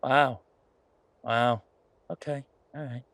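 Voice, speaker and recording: A man playing an earnest, playful boyfriend in a naturalistic one-sided audio roleplay, talking in a halting, wry, conversational way. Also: medium pitch, steady tone, a bit bright, dry recording. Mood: teasing, mock-hurt. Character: earnest, playful werewolf boyfriend pitching a farm venture.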